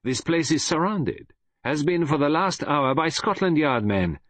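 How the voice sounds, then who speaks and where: A man voicing a courteous, shrewd detective in an audiobook, with a gentle, precise, self-assured manner. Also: a little high, sing-song, measured pace, dry recording, voice pushed up, heavily acted. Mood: triumphant, assured. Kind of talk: audiobook character voice.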